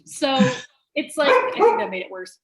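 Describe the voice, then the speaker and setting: A woman, a guest on a business interview, talking quickly and openly and filling her speech with "like". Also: very high-pitched, vocal fry, over a noisy crowd. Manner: rapid and animated, lots of "like" fillers. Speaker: guest, sales trainer and business owner. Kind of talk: candid conversational interview.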